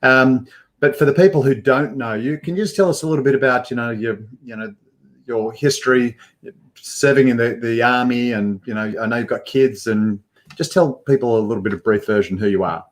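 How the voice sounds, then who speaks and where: A man's voice: mid-range voice, brisk. An older man hosting a live video call interview from an indoor studio, talking in an upbeat presenter manner with frequent fillers. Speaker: host. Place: indoor studio with banner backdrop. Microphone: headphones with mic, webcam framing.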